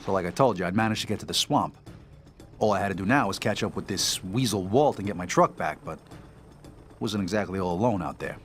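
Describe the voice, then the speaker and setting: A man's voice: low-pitched, brisk, a bit bright, faint music behind. A man playing a tough, streetwise New mobster who narrates his story in a game cutscene, hard-edged and profane. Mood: grim recollection. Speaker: narrating protagonist.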